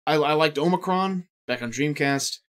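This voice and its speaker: A man in his thirties co-hosting a casual gaming podcast, swearing freely and jumping between asides. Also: on the high side. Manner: animated, profane, quick asides and impressions. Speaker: podcast co-host. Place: indoor studio set.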